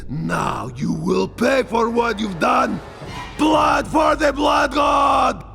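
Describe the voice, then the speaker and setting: An actor voicing a bloodthirsty monstrous male champion of a blood god in a video game, roaring menacing taunts in a strained, gravelly voice. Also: mid-range voice, a bit bright, shouting, soft background music, some chatter behind, heavily acted. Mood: murderous rage. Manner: menacing, drawn-out battle taunts. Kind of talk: video game cutscene acting.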